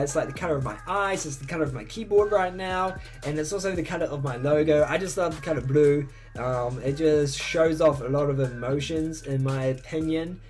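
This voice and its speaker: Young male vlogger, 18, slightly high voice, eager and bouncy, casual bedroom Q&A, faint music bed. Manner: excitable, swings up high when enthusing. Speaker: YouTuber answering fan questions. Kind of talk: casual Q&A vlog. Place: bedroom, indoors.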